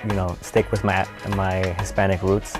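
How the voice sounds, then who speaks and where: A man's voice: deep voice, little pitch movement, normal speed, music in the background. A man speaking steadily and with pride in a documentary interview.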